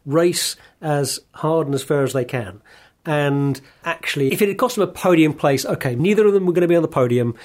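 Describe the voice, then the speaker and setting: A man's voice: fairly high pitch, brisk, a little mellow. A man co-hosting a casual motor racing chat, reasoning aloud thoughtfully with hesitant restarts. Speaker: podcast co-host.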